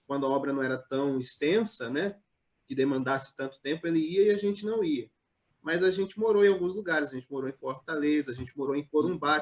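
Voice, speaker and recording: A man from Northeast Brazil, a pastor, telling his life story in a live online interview in a measured, hesitant way, with many pauses and fillers. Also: on the high side, lo-fi sound. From Natal, Northeast Brazil.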